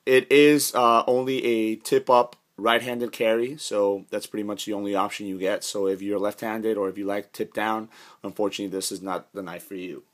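Hoarse, lowish male reviewer; chatty, filler-heavy, talks over his hands on camera. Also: flat delivery, moderate pace. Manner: rambling, many fillers and restarts. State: hoarse from a cold. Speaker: knife reviewer. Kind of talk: casual product review. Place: indoors, carpeted room.

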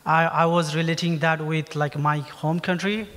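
Man, Nepali accent, high voice, halting audience question, frequent fillers, long theatre reverb. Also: fairly even intonation, a bit bright. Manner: halting, many filler phrases. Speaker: audience member asking a question. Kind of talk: unscripted public question. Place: theatre, standing below the stage. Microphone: microphone on a stand.

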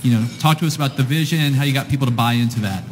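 A man's voice: medium pitch, very fast, background noise. Event host, man in his 40s, upbeat questions, reverberant ballroom with dishes clinking. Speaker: host, interviewer. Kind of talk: onstage interview hosting. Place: large hotel ballroom luncheon on stage. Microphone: handheld mic. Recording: roomy ballroom reverb, dish clatter. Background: clinking cutlery and dishes.

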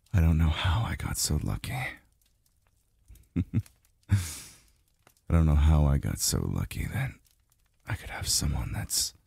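A man playing a calm, devoted male lover in an intimate ASMR roleplay, murmuring softly and slowly, close to the mic. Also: no room sound, full character voice. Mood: relieved, tender. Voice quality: very deep, breathy, gravelly. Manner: slow, soft murmuring with sighs. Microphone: close mic, dry sound.

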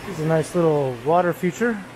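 A man giving an unhurried, casual running commentary while walking through an indoor mall, filmed on a handheld camera. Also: fairly high pitch, background noise. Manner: relaxed, unhurried commentary with pauses. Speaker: walking tour vlogger. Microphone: handheld gimbal camera.

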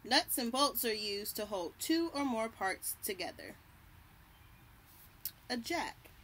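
A young adult woman reading a picture book aloud to children, deliberately, pausing to point out and label the pictures. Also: fairly high pitch, very animated, fairly bright tone. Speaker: children's read-aloud host. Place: outdoors on a house porch by trees. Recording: birdsong and light outdoor background noise. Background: birds chirping.

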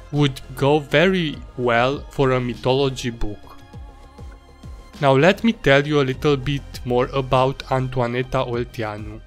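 A man with a Hungarian accent talks through his drawing in a mid-range voice, unhurried and a little halting in his English. Soft music plays underneath. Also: medium pitch. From Romania, Transylvania. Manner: measured, slightly halting non-native English. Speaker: illustrator narrating his drawing process. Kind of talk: voice-over narration.

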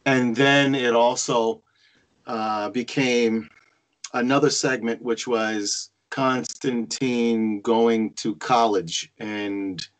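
Man giving halting feedback on a call. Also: mid-range voice, fairly even intonation. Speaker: table-read participant giving feedback. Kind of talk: casual spoken feedback on a video call. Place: remote video call. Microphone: video-call microphone.

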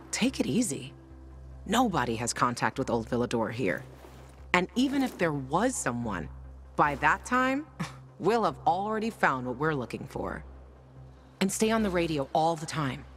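A woman's voice: sits low, sing-song, a little slow, long pauses, faint music behind. An actress voicing a blunt, tough, foul-mouthed young woman fighter, wry and loyal, in a video game. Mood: reassuring. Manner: blunt, swearing, conversational. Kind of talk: video game dialogue acting.

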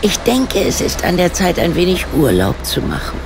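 A shrewd older lady detective in a radio play, with a gravelly, creaky voice that moves in lively swings. She is bossy, genteel and very sure of herself, with clear background noise around her. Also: lowish voice, normal speed, heavily acted. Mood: composed, decided. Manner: formal, self-assured, genteel phrasing. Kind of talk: German radio play dialogue. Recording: clear vehicle and ambience noise.

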